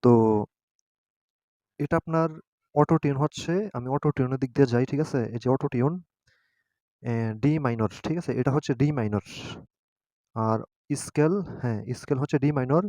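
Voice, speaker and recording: A man hosting a casual step-by-step tutorial, often pausing to check that listeners follow. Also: medium pitch, mellow, no room sound. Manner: repeatedly adds a checking tag question. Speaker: tutorial host. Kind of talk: casual screen-guided app tutorial.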